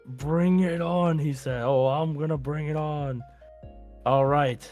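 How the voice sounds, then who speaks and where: A man hosting a quiz podcast in a casual, conversational way, reading out deliberately as quizmaster. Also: a little high, fairly even intonation, darkish tone, soft background music.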